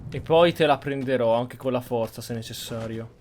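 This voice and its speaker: A man's voice: mid-range voice, brisk, noisy background. An Italian man hosting a gameplay video, commenting casually and sometimes speaking in character. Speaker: gaming video host.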